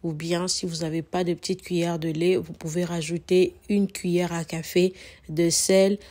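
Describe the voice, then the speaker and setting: Flat-voiced woman, hoarse from a cold. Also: fairly low pitch, moderate pace. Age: adult mother. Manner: chatty, repeats herself, many fillers. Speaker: cooking channel host. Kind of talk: casual cooking tutorial narration.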